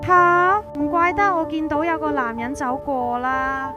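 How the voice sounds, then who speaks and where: A woman's voice: sits high, fairly even intonation, measured pace, lo-fi sound. A woman with a Hong Kong Cantonese accent narrating a scripted first-person ghost story. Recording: prominent music behind.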